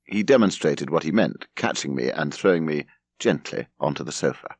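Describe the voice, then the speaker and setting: A man with an English accent reading an audiobook as expressive scripted narration. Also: very deep voice, moderate pace, gravelly, slightly rough, no echo.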